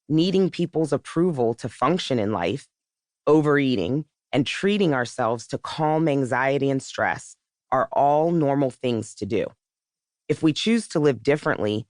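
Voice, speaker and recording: A woman's voice: rumbling low, a little mellow, no room sound, studio quality. A woman reading a scripted first-person audiobook as its author. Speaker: author narrating own audiobook.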